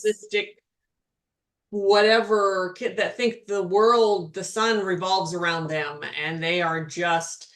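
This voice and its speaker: A woman's voice: mid-range voice, steady tone, slow, fairly bright tone. A middle-aged woman co-hosting a podcast, talking in a chatty, rambling way with frequent restarts and laughs. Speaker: podcast co-host, spiritual healer. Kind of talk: casual chat.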